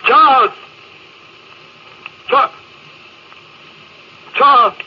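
A man's voice: very high voice, very slow, noisy background, full character voice. A man playing a proud, bitter, anxious space captain, with intense theatrical delivery, on a muffled old radio recording. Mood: desperate, bitter. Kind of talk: 1950s radio drama acting.

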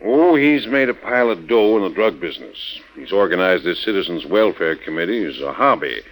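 An actor playing a genial, seasoned older sheriff in a 1940s radio drama, plain-spoken and American. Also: male voice, deep voice, animated, a little fast, poor audio. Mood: frank, friendly.

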